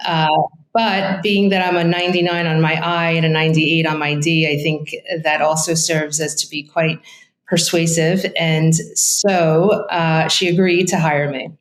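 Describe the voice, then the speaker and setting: A woman's voice: low voice, little pitch movement, a little slow, roomy sound, poor audio. A middle-aged woman from Brooklyn with a thick Brooklyn accent and Italian as her first language, a real estate agent chatting candidly in an interview with lots of fillers and asides. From Brooklyn, New York. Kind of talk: casual interview.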